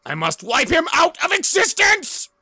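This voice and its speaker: A man's voice: very high-pitched, wildly swooping pitch, measured pace, gravelly, pushed and bright, no echo, clean studio sound, pitched above the performer's own voice, heavily acted. A man playing a scheming, menacing crime lord in a melodramatic comic-book reading. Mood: menacing, vengeful.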